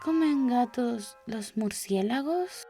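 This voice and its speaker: A woman's voice: a little high, lively intonation, slow, mellow, slightly rough, faint music behind, pitched above the performer's own voice, full character voice. A woman voicing a curious, dreamy young girl who chatters and muses to herself in a storybook. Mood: drowsy. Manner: musing aloud, questioning, wordy. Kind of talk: storybook character voice.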